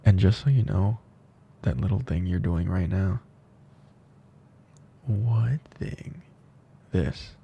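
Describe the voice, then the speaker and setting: A man playing a tender, teasing best friend confessing love in an intimate ASMR roleplay, speaking softly and slowly with breathy drifts into whispering. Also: low voice, lo-fi sound, slight background noise, full character voice. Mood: earnest, teasing.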